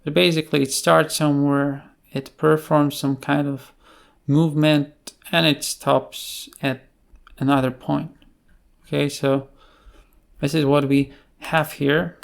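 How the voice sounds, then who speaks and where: A man giving an unscripted tutorial explanation in a halting way, with many filled pauses. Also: a little high, flat delivery, creaky. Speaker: programming tutorial presenter.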